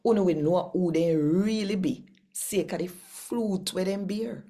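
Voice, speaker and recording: A Jamaican woman hosting a daily Bible reading, earnest and unhurried, murmuring agreement to herself. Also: fairly low pitch, mellow. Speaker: scripture reader.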